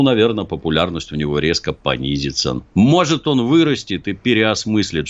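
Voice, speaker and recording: Casting: very low-voiced male talk-show guest, argumentative, ironic, dull lo-fi sound. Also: fairly bright tone. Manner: ironic, argumentative, acts out quotes. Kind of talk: political talk show commentary.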